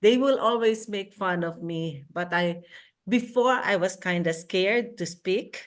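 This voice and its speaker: A woman with a strong Filipino accent, talking slowly and earnestly on a panel. She halts and restarts as she speaks, and her voice sits at a middle pitch. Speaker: panel participant.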